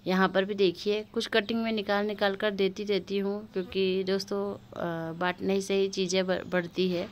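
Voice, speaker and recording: A woman's voice: mid-range voice, flat delivery, normal speed, hardly any pauses, slight background noise. A woman giving a casual, chatty tour of her terrace garden, talking unhurriedly to her viewers with many fillers, filmed on a handheld phone outdoors. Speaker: gardening vlogger. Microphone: phone camera held while filming.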